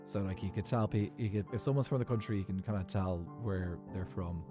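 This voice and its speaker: A man's voice: low-pitched, brisk, music in the background. A man with a Dublin Irish accent, rambling casually as he chats while gaming. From Ireland. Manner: rambling, talks while playing. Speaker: streamer.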